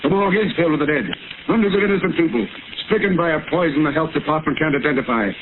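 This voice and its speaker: An actor playing a hot-tempered, demanding city mayor with forceful, oratorical delivery in 1930s radio drama style. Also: male voice, a little high, very fast, natural voice. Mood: angry, demanding. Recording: scratchy, noisy, tinny 1930s radio recording.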